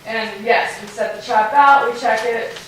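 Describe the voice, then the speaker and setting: A woman animal advocate explaining a cat program at a city meeting, with a loud, earnest voice. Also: fairly high pitch, steady tone, a little slow, a little hiss or hum. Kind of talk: public comment at a meeting. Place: council chamber. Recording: very echoey hall, distant.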